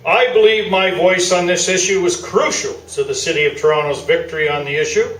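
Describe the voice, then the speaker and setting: Pompous male sports columnist, played by an actor, high-pitched, orating, radio play on a roomy stage. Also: a little fast, fairly bright tone, slight background noise. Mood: pompous, boastful. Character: pompous old-time male sports columnist, self-satisfied, windy. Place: small theatre, live performance. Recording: roomy stage recording from far off.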